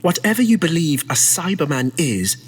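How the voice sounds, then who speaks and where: A woman's voice: low-pitched, big pitch swings, moderate pace, a little hiss or hum. A woman playing an anxious, secretive believer in an audio drama, with an urgent, earnest manner. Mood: earnest, insistent.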